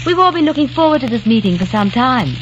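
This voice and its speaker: High lively proper young miss, nosy but sweet, hissy old radio. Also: female voice, fast talker. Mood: polite. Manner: polite, inquisitive, proper. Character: sweet young 18th-century gentlewoman, curious. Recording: dull old radio broadcast, noisy.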